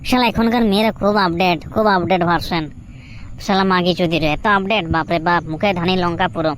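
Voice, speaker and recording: Woman doing a grumbling lovelorn shopkeeper, quick patter. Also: lowish voice, fairly even intonation, a little hiss or hum, lightly acted. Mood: rueful, determined. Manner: crude, grumbling. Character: lovelorn village shopkeeper, crude, grumbling, persistent young suitor. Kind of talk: comedic cartoon voice-over.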